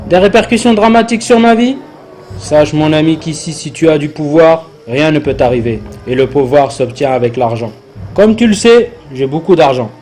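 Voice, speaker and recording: A man's voice: on the high side, lively intonation, moderate pace, a little mellow, soft background music, close to an everyday voice. A man playing an arrogant, worldly rich man with a condescending, boastful manner in a religious cartoon dub. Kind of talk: dubbed religious cartoon acting.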